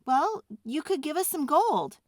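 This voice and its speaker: Storybook schoolboy played by a woman: excitable, pleading, stammering a little, high voice with big swings. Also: measured pace, no echo, studio quality. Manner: hesitant, stammering, emphatic. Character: young schoolboy Michael, earnest, excitable and pleading.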